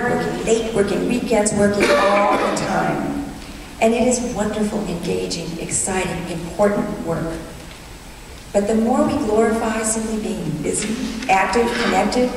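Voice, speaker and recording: A woman's voice: mid-range voice, creaky, a little mellow. Woman speaking at a podium in a hall. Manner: earnest, measured, lightly humorous. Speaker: commencement speaker. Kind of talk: formal commencement address. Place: large auditorium stage at a podium. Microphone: podium microphone heard from the hall. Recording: distant echoey hall recording with noise.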